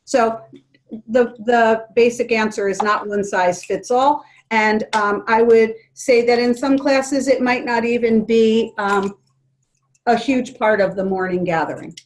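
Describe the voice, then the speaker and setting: Calm woman presenting to teachers online. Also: a little high, a little slow. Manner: calm, gentle, with frequent ums. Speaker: presenter leading an educators' forum. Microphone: video call.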